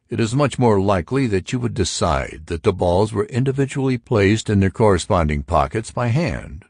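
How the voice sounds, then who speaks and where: Male narrator playing pedantic intellectual, animated intonation, argumentative, dry recording, audiobook dialogue. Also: low voice, no room sound, full character voice. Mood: emphatic, explaining. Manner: emphatic, pedantic. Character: argumentative intellectual man expounding theories to friends.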